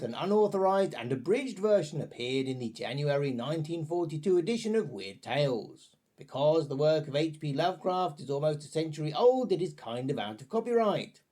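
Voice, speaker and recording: A man's voice: on the high side, very animated, normal speed, darkish tone. A man presenting a scripted review in a chatty, tongue-in-cheek manner with playful asides.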